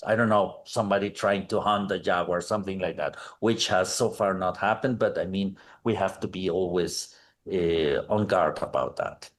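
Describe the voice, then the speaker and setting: A man's voice: low voice, fairly even intonation, normal speed. A middle-aged man from Mexico City, a conservation manager answering interview questions thoughtfully, with many fillers and restarts.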